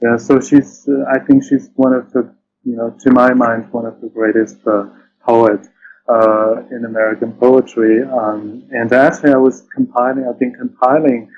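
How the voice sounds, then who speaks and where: A man being interviewed over a call, talking casually and hesitantly, often pausing mid-phrase and repeating himself. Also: mid-range voice, little pitch movement, darkish tone. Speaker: interview guest, poet and translator. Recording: dull lo-fi call with clear noise.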